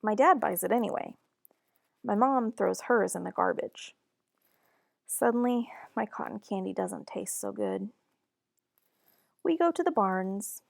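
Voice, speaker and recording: A woman reading a children's storybook aloud in an expressive, deliberate and animated narration. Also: mid-range voice, gravelly, no echo, clean studio sound. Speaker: children's book reader.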